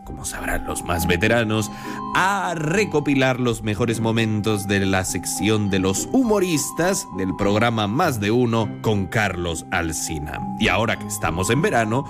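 A man voicing a fussy, self-mocking vampire count who hosts a radio comedy show, with theatrical drawn-out words and a caricatured accent. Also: mid-range voice. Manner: caricatured put-on accent that comes and goes. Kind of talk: theatrical comic monologue.

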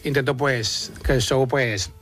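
A man's voice: medium pitch, steady tone, unhurried, a bit bright, soft background music. A man from Aranjuez in central Spain with a Toledo accent, chatting casually as a comedian guest in a rambling, hesitant, self-deprecating way. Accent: Toledo, central Spanish. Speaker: stand-up comedian, interview guest. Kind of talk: casual radio chat.

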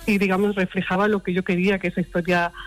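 A woman author and illustrator, interviewed remotely, speaking with many pauses and fillers. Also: lowish voice, level tone, poor audio. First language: Spanish. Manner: hesitant, many fillers and restarts. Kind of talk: radio interview by remote line. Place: remote from abroad.

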